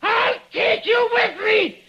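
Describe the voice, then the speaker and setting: An actor playing a dying, vengeful professor who pleads and raves in 1940s radio style. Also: male voice, very high voice, takes it slowly, raised voice. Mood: raving, vengeful. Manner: pleading, staggering, raving. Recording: dull vintage radio recording.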